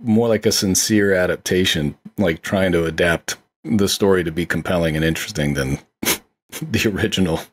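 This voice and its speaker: A man co-hosting a casual chat, enthusiastic and quick to agree. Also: deep voice, slightly rough. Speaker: podcast co-host.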